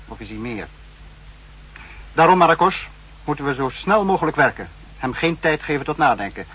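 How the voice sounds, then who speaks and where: Calculating, authoritative spymaster. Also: male voice, medium pitch, a bit bright, slightly rough. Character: calculating, authoritative male spymaster, head of secret service. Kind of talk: 1960s Dutch radio drama acting. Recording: faintly noisy 1960s radio recording.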